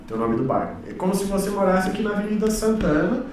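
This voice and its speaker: Relaxed man, echoey room. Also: sits high, quick pace, a little hiss or hum. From São Paulo, Brazil. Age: 30s. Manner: relaxed, chatty, joking. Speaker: guest speaker, communication coach. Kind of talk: casual storytelling interview. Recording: echoey room, mic far off.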